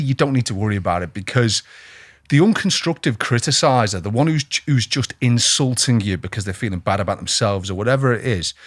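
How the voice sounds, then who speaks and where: A man hosts a podcast interview on a close, dry mic, his pitch swinging widely as he talks. He sounds eager and encouraging, and his questions keep the chat going. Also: no echo. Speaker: podcast host, CEO.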